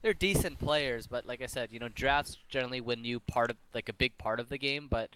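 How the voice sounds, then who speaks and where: A man with an American accent, co-casting a game match with rambling, hedging analysis, quick to laugh. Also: medium pitch, background noise. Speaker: esports caster. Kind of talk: casual game analysis commentary.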